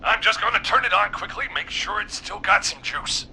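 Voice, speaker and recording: A man voicing an excitable, nervous newspaper editor in a video game, with a fussy, agitated, blustering manner. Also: high voice, moderate pace, creaky, a little hiss or hum, full character voice. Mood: frantic, exasperated.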